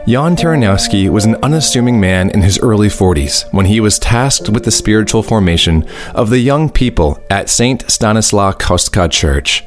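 A man narrating a radio drama from a script in a storytelling style. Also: on the low side. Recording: music behind the narration.

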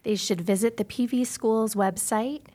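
A woman's voice: fairly high pitch, steady tone, moderate pace, warm tone. An American woman speaking as an interview guest, an articulate teacher explaining and promoting a school program. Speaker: arts teacher, podcast guest. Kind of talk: informational interview answers.

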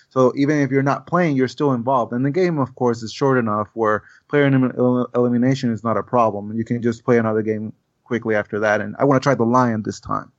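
A man's voice: medium pitch, no room sound. Man on a dull dry line. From Puerto Rico. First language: Spanish. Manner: earnest, repeats words while thinking. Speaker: game designer guest. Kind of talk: casual podcast chat. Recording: dull, dry podcast audio.

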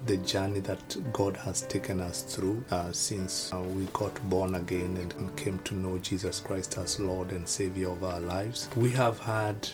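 A man's voice: low voice, flat delivery, unhurried, hardly any pauses, over music. A man from Kenya, a guest telling an earnest faith story in a preacherly, repeating way. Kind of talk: testimony in conversation.

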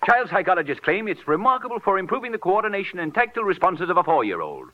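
An actor playing a whimsical, childish, pompous millionaire toy maker with precise diction, in 1940s radio style. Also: male voice, high voice, sing-song, fast. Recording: dull vintage 1940s radio recording.

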